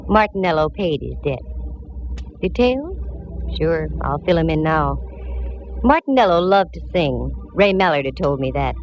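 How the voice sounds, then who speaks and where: An actress playing a tough, wisecracking female private eye, narrating in brisk hard-boiled style on a 1940s radio drama. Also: low voice, expressive. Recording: dull old radio broadcast with music.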